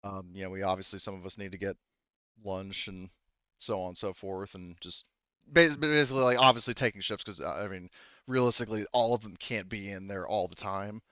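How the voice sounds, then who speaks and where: Guy game mastering a tabletop rpg, halting delivery, low clean voice, bit dull sounding mic. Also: fairly bright tone, dry recording. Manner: halting, speaks for characters in own voice. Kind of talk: narrating a tabletop roleplaying game.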